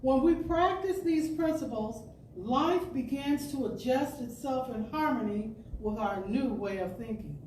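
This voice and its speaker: Slow, high-voiced woman reading in a roomy space. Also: expressive, a little mellow. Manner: deliberate, measured phrasing with emphatic pauses. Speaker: storyteller and speaker at a spiritual center. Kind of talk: expressive reading of an inspirational talk. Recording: distant roomy pickup with background noise.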